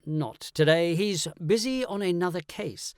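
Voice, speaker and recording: A man's voice: high-pitched, a little slow, a little mellow, clean tone, dry recording, studio quality. A man voicing a genial, money-minded male go-between in an audiobook, polite, chatty and persuasive. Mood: casual, eager. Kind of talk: audiobook character acting.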